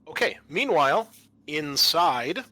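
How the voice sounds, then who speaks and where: A man hosting a tabletop roleplaying game as game master, narrating off the cuff and pausing to think aloud. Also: fairly high pitch, sing-song, sharp tone, dry recording.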